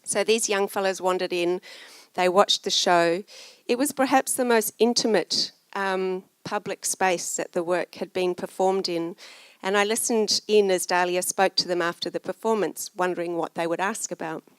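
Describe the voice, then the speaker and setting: A woman's voice: fairly low pitch, moderate pace, smooth, no room sound, rough recording. A woman telling a story as a conference panel speaker. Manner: storytelling, acting out quoted voices. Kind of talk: storytelling talk. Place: conference room.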